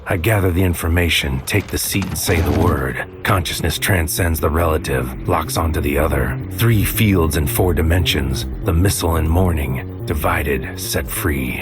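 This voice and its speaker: An actor playing a world-weary psychic agent in a noir audio drama, speaking in a terse, brooding, deliberate way. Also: male voice, rumbling low, over music. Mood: grim, brooding. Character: world-weary psychic agent man narrating his dangerous mission.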